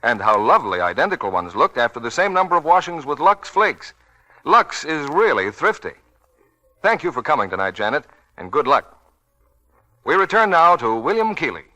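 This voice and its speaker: An American man working as a radio announcer, giving a polished, scripted 1940s sales pitch. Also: sing-song, moderate pace, pushed and bright.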